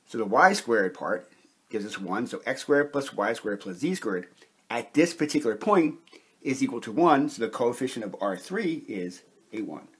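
A man with a slightly husky voice giving a measured, methodical lecture, explaining step by step as an instructor. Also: medium pitch, expressive. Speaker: chemistry instructor.

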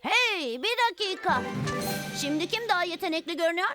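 A woman's voice: very high-pitched, expressive, fairly quick. A woman playing a bouncy, confident little cartoon girl, childlike and perky, who loves to perform. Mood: boastful, indignant. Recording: prominent music behind voice.

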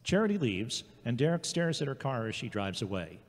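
A man's voice: medium pitch, sing-song, a little fast. A man reading scripted story narration as the narrator of an audio drama. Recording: long hollow reverb.

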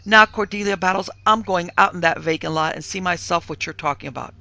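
A man voicing a peremptory, indignant middle-aged mistress of a household in an audiobook, with a sharp, demanding, folksy way of talking. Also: high voice, moderate pace, no room sound. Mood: impatient, demanding. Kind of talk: audiobook character voice.

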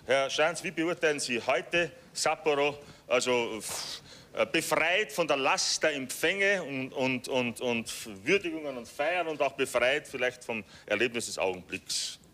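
Bright, forceful male interviewer. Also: medium pitch, expressive, unhurried. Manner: hesitant, searching for words. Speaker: television interviewer. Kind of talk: formal interview.

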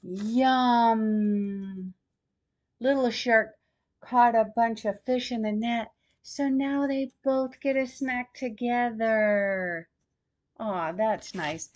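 A woman in her sixties reading a children's storybook aloud in a deliberate, expressive way for young listeners. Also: on the high side, muffled recording. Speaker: grandmother host reading picture books to children. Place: small plain room at home, seated in armchair.

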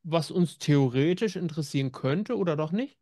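Male co-host, middle-aged, pitch a bit high, casual announcing style, very dry recording. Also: expressive, normal speed, warm tone, dry recording. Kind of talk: casual chat, announcing releases. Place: home room with media shelves. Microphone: video call.

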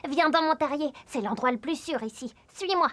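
High-voiced, earnest young boy meerkat. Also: normal speed, fairly bright tone. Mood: friendly, proud. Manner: earnest, explanatory. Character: cautious, friendly, proud young male meerkat. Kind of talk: preschool cartoon dialogue.

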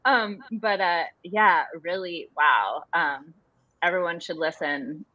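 A woman's voice: on the low side, takes it slowly, dry recording. A woman in casual panel conversation as a theatre artist, recorded on a slightly dull video call in a book-lined room.

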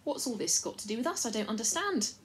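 A woman gives voice to a brave young girl queen in a storybook, pitched a little higher than the narration. She speaks plainly and earnestly. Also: sing-song, fast talker.

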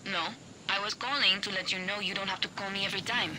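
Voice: mid-range voice, steady tone, fast, noisy background, lightly acted. An actor playing a dry, clever hacker ally. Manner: dry, matter-of-fact.

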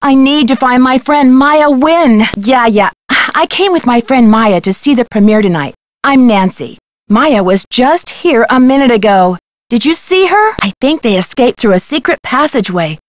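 A woman playing a determined, sassy young amateur detective in a video game, with a brisk, pointed way of talking. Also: on the high side, lively intonation, dry recording, studio quality, close to an everyday voice. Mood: urgent. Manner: brisk, pointed, wry questioning.

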